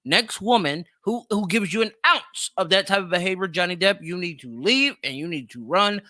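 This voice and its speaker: A man's voice: sits high, expressive, normal speed, pushed and bright, slight background noise. A man hosting a podcast, giving opinionated reaction commentary in a brash, profane, exclamatory way. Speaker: podcast host.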